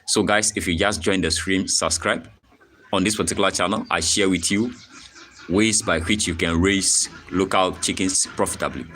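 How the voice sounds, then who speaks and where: Man, Ghanaian accent, very low voice, live farming tutorial, repetitive and explanatory, faint noise behind. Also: steady tone, normal speed, fairly bright tone. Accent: Ghanaian English. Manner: repetitive, frequent "okay?" check-ins, reads chat aloud. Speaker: poultry farmer and channel host.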